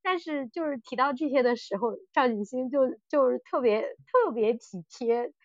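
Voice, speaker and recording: A woman co-hosting a casual podcast chat, telling stories in an animated way and often repeating her phrases. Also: sits high, moderate pace, no echo, highs cut off. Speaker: podcast co-host. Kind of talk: casual chat about audio dramas.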